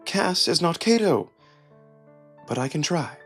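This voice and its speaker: A man voicing a stern, guarded she-wolf noblewoman with a measured, cutting, skeptical manner. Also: on the high side, very animated, a bit bright, faint music behind, voice pushed up.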